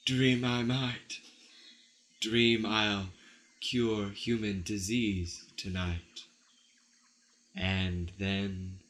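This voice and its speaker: Guy doing an eccentric mad scientist lady in an improv radio play, theatrical, zealous, sharp bright voice. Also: on the low side, a little hiss or hum. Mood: solemn reciting.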